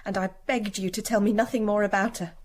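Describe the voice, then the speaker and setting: An actress playing a sad, tired young working woman in a radio drama, quiet, terse and guarded. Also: mid-range voice, fairly even intonation, fast, lightly acted. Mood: pained.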